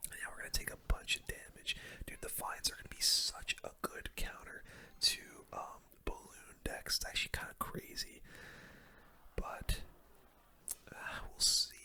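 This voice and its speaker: A man's voice: very high voice, sharp tone. Whispering man doing ASMR game commentary. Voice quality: breathy unvoiced whisper. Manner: casual, slangy gamer talk. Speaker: gaming video host. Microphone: close microphone.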